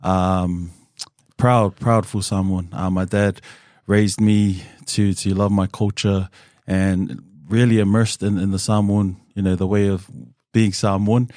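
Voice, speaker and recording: A man, a podcast guest telling his life story in a relaxed, open way with plenty of "um" and "kinda". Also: low voice, a little slow, dry recording, studio quality. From New Zealand. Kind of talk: candid conversational storytelling.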